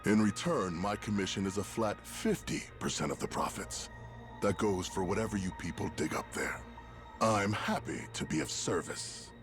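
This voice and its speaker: Video game villain, male: polished, menacing businessman-gangster, formal and superior, deep register, swinging delivery performed by an actor. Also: expressive, normal speed, close to an everyday voice. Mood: cold, insistent. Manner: smooth formal menace, condescending. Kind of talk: dramatic video game voice acting. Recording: game music behind.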